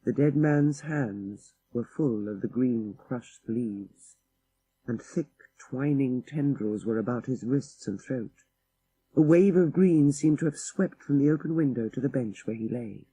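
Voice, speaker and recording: A man's voice: mid-range voice, measured pace, mellow, breathy edge, poor audio. A man narrating a literary ghost story for an audiobook in a dramatic style.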